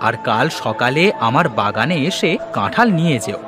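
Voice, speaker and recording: A man voicing a kind, trusting village farmer in a Bengali cartoon folktale, with an expressive, exclamatory delivery over music. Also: medium pitch, moderate pace, natural voice. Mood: generous, firm. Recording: music behind the voice.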